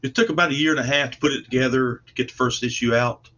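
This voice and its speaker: A man's voice: mid-range voice, fairly even intonation, fast, sharp tone. A man chatting casually as an interview guest about his creative work, earnest, modest and plain-spoken in a folksy way. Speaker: guest, comic book artist and writer. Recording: dull lo-fi online call audio.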